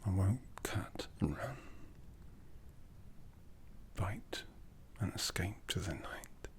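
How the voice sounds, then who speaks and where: A man playing an aloof, commanding aristocratic vampire in an ASMR roleplay, half-whispering slowly and deliberately with a breathy, seductive delivery. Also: low-pitched, lively intonation, heavily acted. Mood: seductive, intense. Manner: slow, deliberate, measured.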